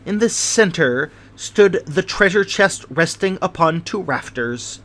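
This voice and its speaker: A man voicing an excitable, fragile hypochondriac gentleman who chatters eagerly in a high voice, in a storybook reading. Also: unhurried. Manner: high voice rising above the noise, eager chatter.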